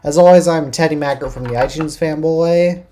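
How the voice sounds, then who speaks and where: A man's voice: on the high side, moderate pace, warm tone, slight background noise. A man hosting a casual tech podcast, talking with a cold and opening the show eagerly. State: has a cold. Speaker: podcast host.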